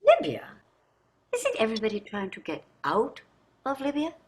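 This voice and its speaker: An actress playing a privileged, jaded middle-aged wife in a staged play reading, speaking in a theatrical, effusive way. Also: female voice, fairly high pitch, wildly swooping pitch, normal speed, husky, exaggerated voice. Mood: indignant, concerned. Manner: theatrical, effusive, drawling endearments. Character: wealthy, jaded, privileged wife on a dystopian luxury ship.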